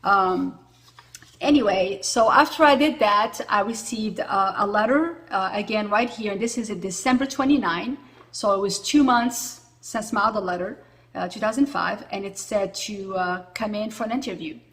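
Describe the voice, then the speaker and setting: A woman's voice: mid-range voice, normal speed, fairly bright tone. A woman in her forties from Morocco, talking casually to camera as a vlogger, with a lot of filler words. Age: 40s. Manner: chatty, with frequent fillers.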